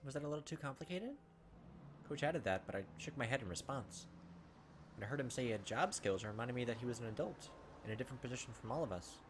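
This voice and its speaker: A man's voice: medium pitch, expressive, quick pace. A man reading visual novel text aloud in a theatrical, emotive way as a gaming video host. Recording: background music under the voice. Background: game music.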